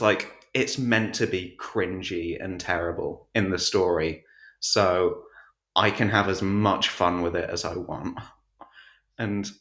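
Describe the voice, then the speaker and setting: A man's voice: fairly low pitch, a bit bright. A man being interviewed about his audiobook narration, chatting casually in a self-deprecating, jokey, slightly hesitant way. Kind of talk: casual podcast chat. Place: untreated room at home. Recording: roomy home-recorded echo.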